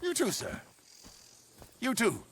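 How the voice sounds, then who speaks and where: An actor playing a genteel, self-deprecating and excitable wildlife photographer in a video game, with a florid, wordy way of talking. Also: male voice, very high voice, slow pace, background noise, heavily acted. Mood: delighted. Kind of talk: video game cutscene acting.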